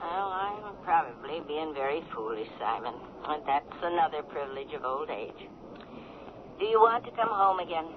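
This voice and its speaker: A woman's voice: low voice, expressive, normal speed. A woman playing a shrewd, wary elderly cousin in a 1970s radio drama. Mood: cautious concern. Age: older. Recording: tinny, noisy 1970s radio recording.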